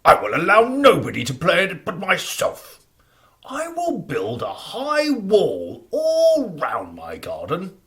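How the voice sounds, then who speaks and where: A man's voice: sits high, huge pitch swings, slow, a bit bright, exaggerated voice. A man playing a grumpy, selfish, blustering giant in a theatrical children's story. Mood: indignant, gruff. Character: grumbling, selfish old male giant, loud and bullying.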